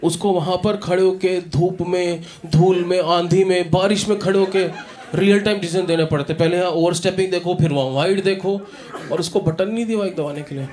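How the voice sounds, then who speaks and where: A man in his thirties doing a stand-up comedy set on a club stage, telling animated stories and acting out characters into a handheld mic. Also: sits high, a little fast. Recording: live club audio with audience noise. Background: audience laughter.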